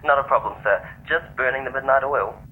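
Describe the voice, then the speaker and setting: An actor playing an easygoing, slightly cocky young engineering lieutenant in a science fiction audio drama. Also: male voice, mid-range voice, brisk, close to an everyday voice. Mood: dutiful. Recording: muffled audio with clear background noise.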